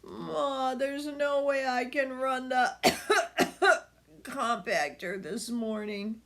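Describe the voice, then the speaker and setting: A woman's voice: high-pitched, very slow, fairly bright tone, pitched above the performer's own voice, heavily acted. A woman reading a storybook as a sick, plaintive grown son who complains to his mother in a sing-song way. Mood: sick, pleading.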